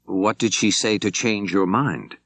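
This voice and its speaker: A level-headed male law professor playing detective, voiced by a male narrator, speaks very low. He questions directly and in measured phrases, in the manner of an audiobook mystery. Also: fairly bright tone, no echo. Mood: probing, calm.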